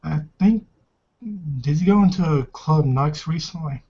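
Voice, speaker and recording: A man's voice: on the high side, measured pace, mellow, highs cut off. A man playing a casual, easygoing club worker in a tabletop roleplay, with a slightly hesitant way of talking.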